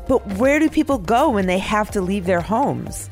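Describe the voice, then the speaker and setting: Woman, podcast news host, lively pitch, faint music behind, upbeat scripted narration. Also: normal speed.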